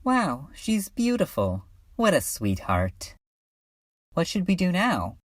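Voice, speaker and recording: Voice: mid-range voice, wildly swooping pitch, slow pace, background noise. A woman voicing a laid-back cartoon cat creature in a comedic dub, with a casual, slangy manner. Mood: smitten, playful.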